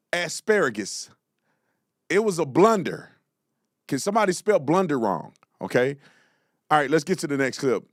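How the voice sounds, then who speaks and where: Animated mocking male commentary host. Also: on the high side, no echo, clean studio sound. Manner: mocking, exclaims loudly, slangy.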